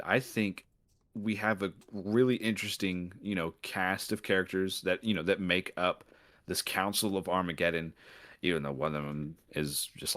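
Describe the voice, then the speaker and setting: Deep-voiced man chatting casually. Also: a bit bright. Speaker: podcast co-host. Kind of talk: casual chat.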